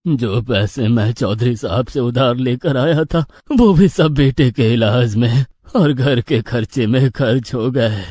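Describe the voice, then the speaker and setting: A man acting a poor old farmer in a cartoon story, husky, humble and pleading. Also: mid-range voice, takes it slowly, darkish tone, dry recording, studio quality. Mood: despairing. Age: older. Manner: pleading, troubled.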